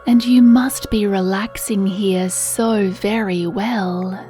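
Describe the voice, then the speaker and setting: A woman reads a children's bedtime meditation at an extremely slow, unhurried pace. Her voice is soft, mellow and hushed, with a soothing, breathy gentleness. Quiet music plays behind her. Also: mid-range voice, steady tone. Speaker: meditation narrator. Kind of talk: children's sleep meditation storytelling, scripted narration.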